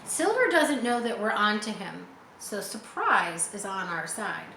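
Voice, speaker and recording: Storybook sea captain, male, read by a woman: steady, careful, gravely giving orders. Echoey recording. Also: medium pitch, unhurried, exaggerated voice. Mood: resolute. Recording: echoey room with faint background noise.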